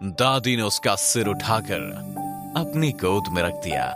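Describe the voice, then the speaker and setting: Slow, low-pitched male storyteller over loud music. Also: sing-song. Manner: suspenseful storytelling. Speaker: narrator. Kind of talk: dramatic scripted story narration. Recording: loud music bed under narration.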